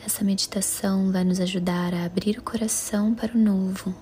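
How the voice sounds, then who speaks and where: A Brazilian Portuguese woman leads a guided meditation in a calm, soothing, measured way, her voice soft, breathy and half-whispered. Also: medium pitch. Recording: music under the voice. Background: music.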